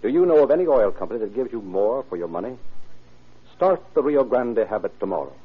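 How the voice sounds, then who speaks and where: A man's voice: mid-range voice, sing-song, brisk, slightly rough, narrow, tinny audio. A man announcing and narrating in formal, emphatic 1930s radio sales style. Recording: band-limited old radio broadcast.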